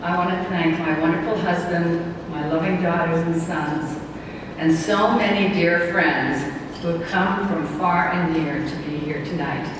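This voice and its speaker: A woman giving an acceptance speech at an awards dinner, slow and hesitant with frequent ums and restarts. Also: lowish voice, steady tone. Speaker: award honoree. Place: large banquet hall. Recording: echoey banquet hall from far off, noisy.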